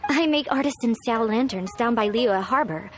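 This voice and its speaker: A woman's voice: on the high side, moderate pace, soft background music, close to an everyday voice. A woman voicing a polite, composed craftswoman in a video game, friendly in her manner. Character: polite female lantern artisan, composed and friendly.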